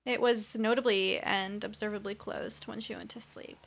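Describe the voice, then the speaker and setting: A woman co-hosting a podcast, recapping a TV episode in casual, animated, conversational speech. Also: medium pitch, normal speed, creaky. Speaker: podcast co-host.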